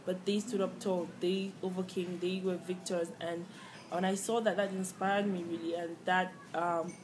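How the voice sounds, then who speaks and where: A woman's voice: lowish voice, flat delivery, normal speed. A young woman from Cameroon, telling her story in an interview, earnest and reflective, with frequent fillers and restarts. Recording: clear background noise.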